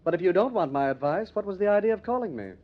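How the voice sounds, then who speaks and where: An actor playing a smug, condescending young millionaire heir with refined manners in an old-time radio drama. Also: male voice, high voice, expressive, quick pace. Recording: dull, lo-fi old radio recording.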